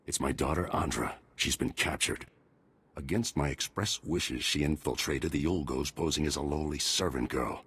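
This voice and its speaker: An actor playing a stern, worried middle-aged army major and father in a video game, gruff and commanding. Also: normal speed, a bit bright, exaggerated voice. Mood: bitter, worried. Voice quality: very deep, gravelly husky voice. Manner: gruff, commanding military delivery.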